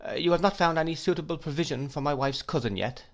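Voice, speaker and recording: Guy doing a sweet absent-minded old schoolmaster, higher voice, hesitant. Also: quick pace, lightly acted. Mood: mild, earnest. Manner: hesitant, mild, scholarly. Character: kindly absent-minded elderly male schoolmaster.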